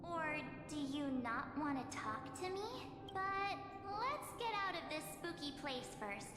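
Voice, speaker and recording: A perky teenage girl sidekick from an anime-style game, high and swooping. She gushes and nags with cheerful impatience, in an echoey space with music behind. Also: big pitch swings, measured pace. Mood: cheerful coaxing. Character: bubbly, chatty teenage girl companion, cheerfully pushy.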